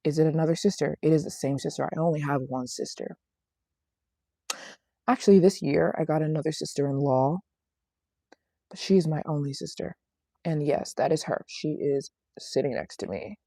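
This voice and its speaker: A young woman hosts a casual livestream, talking in a low register with a gravelly fry. She is chatty and playful, repeating phrases for emphasis as she answers viewers. The sound is close and dry. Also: normal speed, pauses often, dark tone, dry recording. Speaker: travel vlogger hosting a livestream. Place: small apartment living room in Japan.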